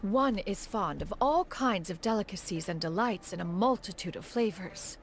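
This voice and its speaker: An actress playing a haughty, ancient immortal mentor in a video game, with pompous archaic speech. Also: female voice, medium pitch, animated, moderate pace, a little hiss or hum, natural voice. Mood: proud. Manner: pompous, archaic speech, refers to self as "one". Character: haughty ancient adeptus bird in woman's form, imperious mentor. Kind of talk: scripted video game dialogue. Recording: music playing behind.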